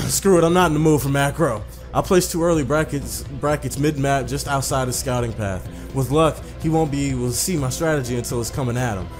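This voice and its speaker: A man's voice: mid-range voice, a little mellow. A man doing a casual let's play, swearing and repeating himself in slang as he comments and reads game text aloud. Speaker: gaming video host.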